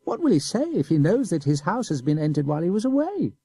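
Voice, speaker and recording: A sly little boy, cool and mocking, his voice pitched high and swinging up and down. A man puts him on for a storybook reading. The tone is soft and husky, the sound close and dry. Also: very animated, quick pace, no echo, exaggerated voice. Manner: sneering, pert. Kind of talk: audiobook character voice.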